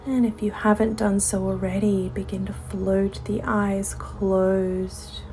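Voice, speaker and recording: A woman leading a guided meditation as a yoga teacher, soothing and unhurried, her soft voice often falling away toward a whisper. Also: medium pitch, flat delivery, a little hiss or hum. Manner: unhurried instruction with gentle pauses.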